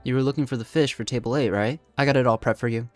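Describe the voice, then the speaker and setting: A man voices an earnest teenage apprentice in a cartoon parody. He speaks politely and plainly at a medium pitch, close and dry over faint music. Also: fairly even intonation, quick pace, no room sound, natural voice. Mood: earnest, helpful. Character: earnest, determined teenage boy apprentice cook.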